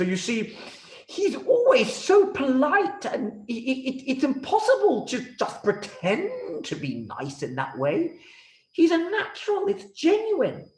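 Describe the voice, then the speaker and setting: A man's voice: extremely high pitch, huge pitch swings, a little slow, pitched above the performer's own voice, full character voice. A man voicing a pompous, easily flustered king in a children's story, effusive and theatrical. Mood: doubtful, amused. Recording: roomy, echoey room sound.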